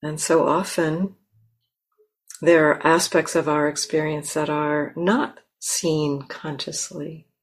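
Female meditation teacher, low register, very slow pacing, gentle delivery with long pauses mid-sentence. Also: fairly even intonation. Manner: gentle, unhurried, pausing mid-phrase. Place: meditation center.

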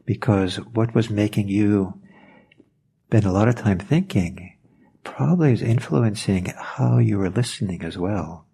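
A man whose first language is Norwegian, giving a reflective meditation talk, pausing and searching for words as he goes. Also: lowish voice, creaky, dark tone, slightly rough. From Norway. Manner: thoughtful, hesitant, searching for words.

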